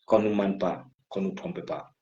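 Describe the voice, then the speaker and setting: Fervent low-voiced man. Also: sing-song, normal speed, warm tone. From Cameroon. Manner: fervent, repetitive, hammering phrases. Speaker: political activist. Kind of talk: impassioned political address. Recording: muffled lo-fi recording.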